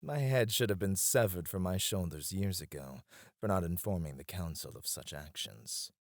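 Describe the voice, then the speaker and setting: A man's voice: low-pitched, moderate pace, no echo, studio quality. A man playing a devoted, teasing royal advisor in a romantic ASMR roleplay, speaking in a formal, courtly way. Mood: fond, playful.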